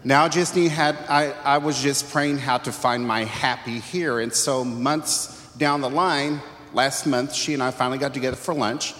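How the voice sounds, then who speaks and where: A man's voice: medium pitch, steady tone, normal speed, hardly any pauses, a bit bright. A man from Texas sharing his personal testimony earnestly on a church panel. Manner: earnest, conversational. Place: church sanctuary. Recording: long hall reverb.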